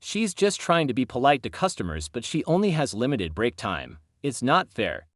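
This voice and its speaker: A man's voice: mid-range voice, big pitch swings, moderate pace, dry recording, clean studio sound, lightly acted. A man voicing a tired, well-meaning shopper in a story, earnest and persistent. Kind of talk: acted story dialogue.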